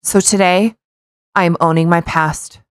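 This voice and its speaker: A woman's voice: on the low side, fairly even intonation, measured pace, clean tone, no room sound. A woman co-hosting a podcast in casual chat, with blunt, teasing, sarcastic commentary and mocking reading aloud. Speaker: podcast co-host.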